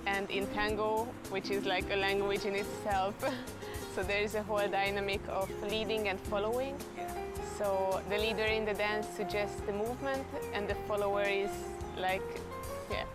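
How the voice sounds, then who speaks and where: A young woman with a Hungarian accent chatting in an interview, laughing often as she talks. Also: medium pitch. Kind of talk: casual interview chat.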